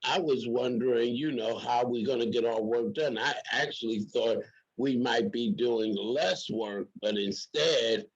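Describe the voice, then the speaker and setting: A man's voice: medium pitch, a little slow, slightly rough, muffled recording. A man hosting an interview program in casual talk, with emphatic, repetitive rhetorical phrasing. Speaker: program host.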